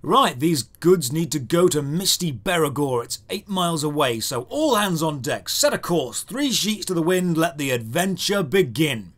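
A man's voice: a little high, big pitch swings, moderate pace, hardly any pauses. A British man narrating a scripted comedic game review in a sarcastic, mock-grandiose, theatrical manner. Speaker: video game reviewer and host.

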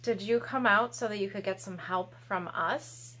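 A woman's voice: medium pitch, moderate pace, bright, forceful tone. A woman in her thirties presenting a children's storytime in a playful, exaggerated, encouraging manner, recorded in a small room at home. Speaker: library storytime host.

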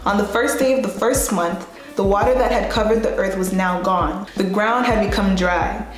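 A young adult woman hosting a Bible storytime, reading and telling stories to camera in a playful, expressive, colloquial way. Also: medium pitch, moderate pace. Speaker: host of a Bible storytime show. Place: small bright indoor room at a desk. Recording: very echoey room, music behind.

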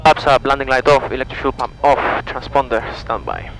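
A man's voice: medium pitch, fairly quick, low-quality recording. A man in his twenties calling out checklists, commenting and making radio calls as a solo pilot on a headset mic. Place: small propeller plane cockpit in flight and taxiing. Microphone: aviation headset boom mic. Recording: headset audio with steady engine noise. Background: light aircraft engine hum.

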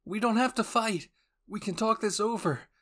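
A man's voice: sits high, normal speed, sharp tone, pitched above the performer's own voice. A man voicing an anxious young male soldier who pleads and negotiates urgently in an anime fan roleplay. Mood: urgent pleading.

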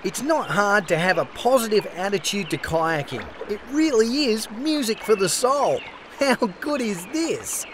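A man's voice: high-pitched, expressive, measured pace, background noise. A man voicing a cheerful, friendly cartoon koala mascot with an Australian accent, giving scripted safety advice in an upbeat, instructive way. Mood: cheerful, playful. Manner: upbeat, instructive, clearly enunciated. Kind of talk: scripted children's-style safety narration.

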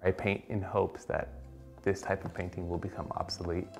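A man's voice: low voice, level tone, normal speed, music in the background. A man in his thirties from Costa Rica, an artist talking reflectively in a documentary interview, with many fillers and restarts.

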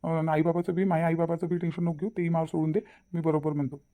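A man plays a devoted young cartoon fiance with a very mellow, dark voice and a Varhadi Marathi accent. He talks quickly and colloquially, repeating himself for emphasis as he reassures and insists. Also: a little high, little pitch movement, natural voice. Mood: persuasive. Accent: Varhadi Marathi from Vidarbha. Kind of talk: cartoon family drama dialogue.

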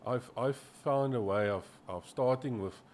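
A middle-aged South African man, a company finance chief, talking in a measured, conversational way, often repeating words as he builds his sentences. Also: mid-range voice, a little mellow, a little hiss or hum. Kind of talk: business interview, conversational. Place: small office meeting room. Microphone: desk podcast mic on boom arm.

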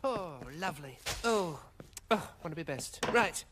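A man voicing a bumbling, childlike middle-aged cartoon character who mumbles short, flustered exclamations in a gravelly voice. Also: on the high side, lively intonation, measured pace, background noise. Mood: muttering. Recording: music behind the voice.

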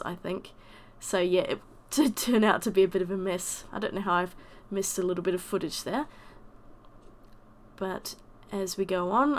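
A woman with a croaky voice from a summer cold, chattily narrating a watercolour painting voice-over. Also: mid-range voice. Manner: chatty, self-deprecating. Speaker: watercolour artist.

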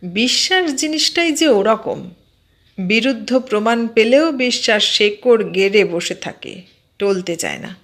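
A woman voicing a mild, stubbornly sceptical man in an audio story, explaining things in a measured, matter-of-fact way. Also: medium pitch, animated, a bit bright, natural voice. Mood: calm, unruffled.

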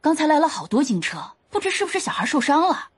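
A woman's voice: high-pitched, sing-song, fast talker. An actress playing a chatty, fretful female snack-shop owner of about fifty in an audio drama, grumbling as she talks. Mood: worried, gossipy.

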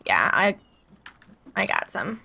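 A woman's voice: medium pitch, big pitch swings, takes it slowly, vocal fry, sharp tone, a little hiss or hum. A woman with a Midwestern American accent, chatting casually as she streams a co-op video game. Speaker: gaming streamer. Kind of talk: casual co-op gameplay chat.

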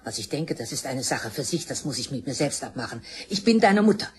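A woman's voice: rumbling low, very animated, fairly quick. An actress playing an imperious, stubborn older mother in a crime radio play, with a firm, cutting, formal way of talking.

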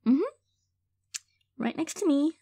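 A woman's voice: high voice, no room sound, clean studio sound. A woman playing an affectionate, hesitant girlfriend in an intimate audio roleplay, speaking sincerely and tenderly to her partner. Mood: tender, coaxing. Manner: soft-hearted, hesitant, halting, gently sincere. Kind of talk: intimate audio roleplay, casual monologue to listener.

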